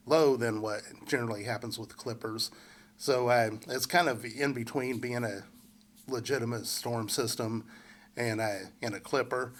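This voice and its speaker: Stuffy-nosed man giving a local forecast. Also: mid-range voice. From central Indiana. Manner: relaxed, many uh fillers and restarts. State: congested from a head cold. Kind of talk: casual forecast walkthrough.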